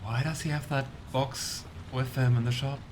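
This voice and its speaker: A man's voice: medium pitch, fairly even intonation, moderate pace, noisy background. A man hosting a let's play, giving casual, amused gameplay commentary with rambling asides. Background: game music.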